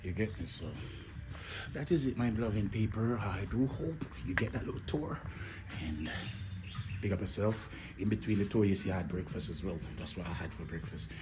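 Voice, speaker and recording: A lowish-voiced Jamaican man rambles warmly to his followers, calling them his people, recorded on a phone as he strolls an outdoor garden. Open-air ambience sits clearly behind him, and he often slips into hushed, near-whispered asides. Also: noisy background. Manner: chatty, addresses viewers as "my people", often drops to a murmur. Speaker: travel vlogger. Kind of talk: casual walking vlog.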